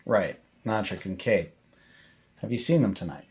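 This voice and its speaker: A man's voice: lowish voice, moderate pace, darkish tone, natural voice. A man playing a suspicious deputy in a tabletop game, questioning kids in a dry, probing way.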